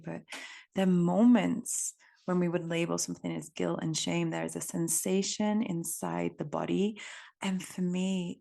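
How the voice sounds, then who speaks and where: A woman from England with an English accent, hosting a reflective solo podcast in a gentle, unhurried, contemplative way. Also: fairly low pitch, vocal fry. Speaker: podcast host.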